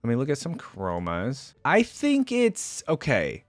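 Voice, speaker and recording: A man's voice: medium pitch, huge pitch swings, a little slow, dry recording, clean studio sound. A man giving casual, informal reaction commentary on game character skins, thinking aloud as he goes. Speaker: reaction video host.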